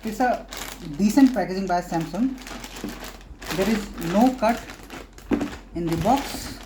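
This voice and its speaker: A woman hosting a casual product unboxing, talking haltingly in short phrases with pauses. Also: mid-range voice, noisy background. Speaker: unboxing video host.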